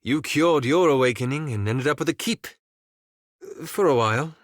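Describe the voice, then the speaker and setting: An actor voicing a prim, bookish elf wizard in a fantasy video game, speaking formally and precisely with dry sarcasm. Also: male voice, mid-range voice, normal speed, no echo, natural voice. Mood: resigned, reflective.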